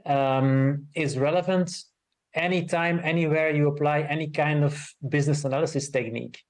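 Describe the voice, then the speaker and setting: A man co-authoring a book, answering interview questions in a thoughtful, measured way with pauses. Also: a little high, a little mellow. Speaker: co-author guest. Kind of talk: casual podcast interview.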